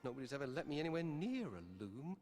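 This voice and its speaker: A man's voice: medium pitch, sing-song, quick pace, natural voice. An actor playing a bewildered young male weaver apprentice in a fantasy game drama, plaintive and wry. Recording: long reverb over music.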